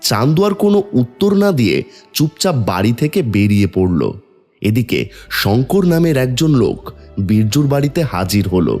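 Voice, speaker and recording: A man narrates a cartoon tale in a lively, varied storytelling voice. Light music plays behind him. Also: mid-range voice, moderate pace.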